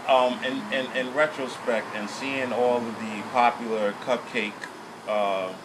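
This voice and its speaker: A man hosting an informal local interview, speaking haltingly and often repeating his words. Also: mid-range voice, fairly even intonation, background noise. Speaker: interviewer and host of a local business show.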